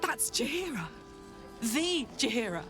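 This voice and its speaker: An actress voicing a chatty, earnest soldier with an infernal engine for a heart in a video game, with a British accent and blunt, expressive delivery. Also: female voice, on the high side, unhurried. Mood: awed, excited. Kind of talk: video game character acting. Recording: game audio with music behind. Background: music.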